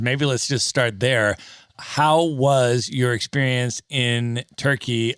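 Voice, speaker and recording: A man's voice: mid-range voice, fairly bright tone, dry recording, studio quality. A man hosting a podcast, asking questions in an enthusiastic, encouraging way. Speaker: podcast host. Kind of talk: casual podcast interview.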